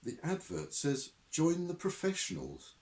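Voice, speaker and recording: A man voicing a timid, nervous young man who speaks hesitantly and squeaks. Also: wildly swooping pitch, measured pace, a little mellow.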